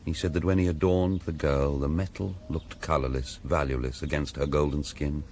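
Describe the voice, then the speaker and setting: An actor playing a proud, compassionate priest in a radio drama, speaking in a measured, eloquent way. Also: male voice, extremely low pitch, fairly even intonation, darkish tone, a little hiss or hum. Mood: grave, sorrowful.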